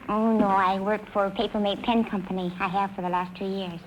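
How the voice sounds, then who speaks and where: A woman in her twenties answers a quiz host's questions softly and hesitantly, with many pauses and fillers. Her voice sits in a mid register, and faint hiss runs under it. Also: mid-range voice, steady tone, highs cut off. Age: 20s. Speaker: quiz show contestant. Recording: hissy old broadcast recording.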